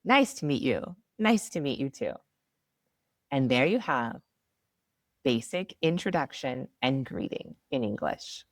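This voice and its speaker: A woman in her thirties teaching a beginner English lesson, saying model phrases slowly and with clear pronunciation. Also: very deep voice, very animated, dry recording, studio quality. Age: 30s. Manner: slow model phrases, bright and upbeat. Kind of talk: slow, clearly enunciated beginner ESL lesson.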